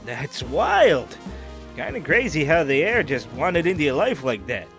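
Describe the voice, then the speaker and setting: A man's voice: on the high side, very animated, moderate pace, creaky, fairly bright tone, faint music behind, exaggerated voice. A man playing a friendly, teasing crook in prison in an audio drama, talking in a playful, colloquial way. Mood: curious, scheming. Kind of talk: audio drama character acting.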